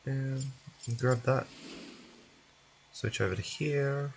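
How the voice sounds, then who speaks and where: A man's voice: mid-range voice, slow, creaky, a little hiss or hum. A man streaming a game, muttering casually to himself as he plays. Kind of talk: casual muttering while playing.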